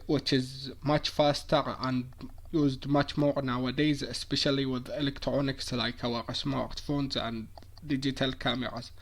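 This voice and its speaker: A man giving a scripted technical presentation as a student. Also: medium pitch.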